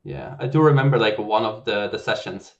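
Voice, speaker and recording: A man from Belgium, a software engineer guest on a podcast, telling stories in a relaxed, chatty way with lots of "like.". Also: lowish voice, a little fast, creaky, lo-fi sound. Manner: relaxed, chatty, heavy use of "like". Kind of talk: casual conversational interview.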